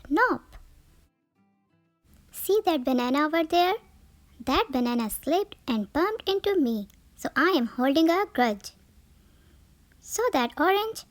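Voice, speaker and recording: A woman voicing a touchy, grudge-holding sour grape in a children's storybook, with expressive, theatrical grumbling. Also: sits high, no echo. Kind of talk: children's picture book read-aloud.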